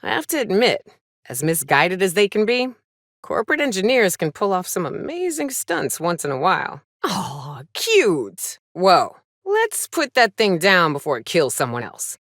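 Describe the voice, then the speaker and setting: A tough female trailblazer from a space frontier video game. Her delivery is sardonic and folksy, with pitch that moves around a lot. The sound is dry and close, and she talks in short, salty remarks like a seasoned companion on the trail. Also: huge pitch swings, a little slow, a bit bright, no room sound, heavily acted. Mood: dry, watchful. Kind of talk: video game voice acting, short reactive lines.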